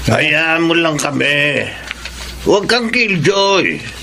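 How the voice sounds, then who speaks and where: A man playing a bossy older father with a Filipino accent in a radio drama, with a gravelly, sharp voice and a domineering way of talking. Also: fairly high pitch, big pitch swings, slow, background noise, exaggerated voice. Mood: jovial, stubborn. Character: bossy, hard-drinking older father, jovial yet stern.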